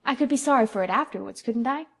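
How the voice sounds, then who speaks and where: A woman voicing an excitable, chatty little boy who talks eagerly and fast. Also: fairly high pitch, creaky, husky, pitched above the performer's own voice. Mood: wheedling.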